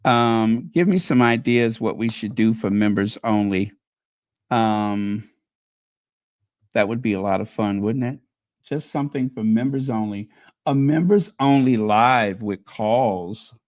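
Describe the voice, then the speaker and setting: Male livestream host, mid-pitched, slow and rambling, chatty with viewers, voice fading. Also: darkish tone, no room sound. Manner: chatty and musing, thinking aloud with many ums. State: losing his voice. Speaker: channel host. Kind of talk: casual livestream chat.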